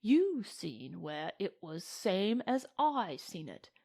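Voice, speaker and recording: A woman reading a storybook and voicing a sly cowboy, folksy and mock-courteous with quiet menace. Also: mid-range voice, sing-song, slow, gravelly, breathy edge, full character voice. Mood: mock-polite menace. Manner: folksy Western drawling phrases, mock-courteous. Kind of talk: storybook character voice in narration.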